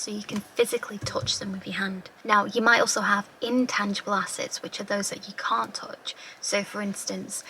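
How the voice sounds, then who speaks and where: A woman tutor with a slightly croaky voice, patiently explaining accounting terms with everyday examples. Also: mid-range voice, fairly bright tone, slight background noise, poor audio. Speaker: finance tutor.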